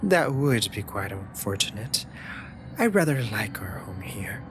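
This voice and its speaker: A man's voice: on the low side, big pitch swings, a little slow, noisy background. A man voicing a teasing, dramatic raven familiar in a scripted fantasy audio roleplay, with playful, sardonic and theatrically indignant delivery.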